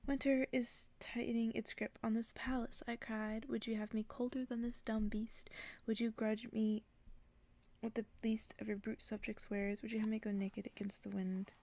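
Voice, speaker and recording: A woman reading a story aloud as ASMR in an intimate, hushed whisper. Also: a little high, flat delivery, normal speed. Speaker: ASMR reader.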